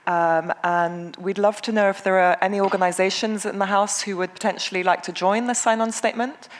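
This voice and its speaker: A woman opens and steers a conference session. Her voice sits at an ordinary middle pitch, and she speaks in a brisk, friendly way with the odd filler um. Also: fairly even intonation, slight background noise. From England. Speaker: session moderator. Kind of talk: moderating a conference panel.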